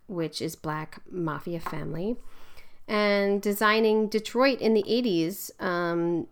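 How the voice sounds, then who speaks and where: A woman hosting a podcast chats in an easy, informal way. Her voice is fairly low, and her speech is full of fillers and quick laughs. She keeps the interview light and conversational. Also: smooth. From Philadelphia. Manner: chatty, laughs often, many fillers. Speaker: podcast host. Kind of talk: casual interview chat.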